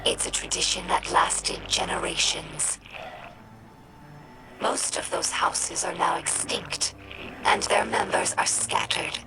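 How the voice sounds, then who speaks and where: An actress playing an earnest young female alien scribe, narrating lore for a game in a measured, reflective way. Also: lowish voice, poor audio. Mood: reverent, wistful. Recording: music under the voice.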